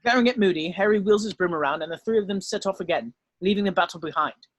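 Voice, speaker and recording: A man's voice: sits high, quick pace, dry recording. A man narrating scripted stage directions at an amateur drama table read.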